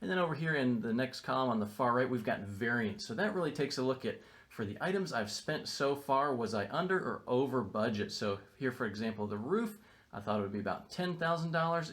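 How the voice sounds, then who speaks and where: A man's voice: mid-range voice, animated, brisk, a bit bright. Upbeat man giving a renovation update. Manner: upbeat, explanatory, matter-of-fact. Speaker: real estate investor vlogger. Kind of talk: casual video walkthrough. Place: renovation site, indoors and outdoors. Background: construction noise.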